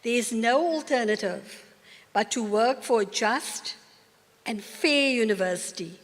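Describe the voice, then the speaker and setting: An older woman with a South African accent giving a formal acceptance speech in a large university hall, measured and earnest. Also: fairly high pitch. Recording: long reverb of a large hall.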